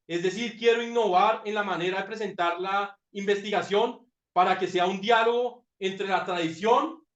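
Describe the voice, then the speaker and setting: A man's voice: sits high, fairly bright tone. A man presenting his doctoral thesis in a master class, talking reflectively with frequent fillers and repeated words. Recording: roomy, dull lo-fi sound.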